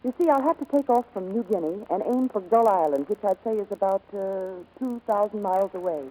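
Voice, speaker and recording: A woman playing a brave, poised aviator in a 1940s Hollywood radio drama, with crisp, self-possessed phrasing. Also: mid-range voice, lively intonation, fairly quick, dark tone, lightly acted. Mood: cool, resolute. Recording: noisy, muffled 1940s radio recording.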